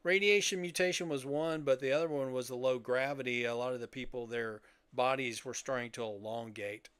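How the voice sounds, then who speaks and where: Casting: older male co-host, clear resonant voice at medium pitch, relaxed podcast conversation. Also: fairly quick, dry recording. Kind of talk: casual chat about sci-fi and old radio heroes.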